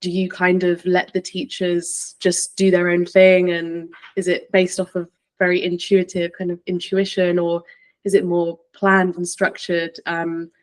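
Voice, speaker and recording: A woman hosts a podcast interview in a relaxed, informal way. Her voice sits a little low and stays fairly flat in pitch as she chats with her guest. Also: unhurried. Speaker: podcast host, yoga teacher. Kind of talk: casual conversational interviewing.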